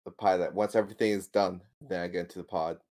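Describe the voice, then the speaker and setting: A man with a deep voice plays a tabletop roleplaying game. He chats informally and describes his character's actions in a halting way. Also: steady tone, a little mellow. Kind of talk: casual game-table chat.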